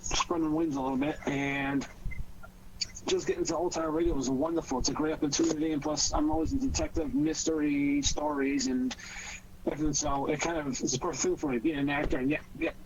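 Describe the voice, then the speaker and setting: A man's voice: on the high side, fairly quick, lo-fi sound, slight background noise. A man, an aspiring actor, chatting casually as a guest on a group panel. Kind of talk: casual chat.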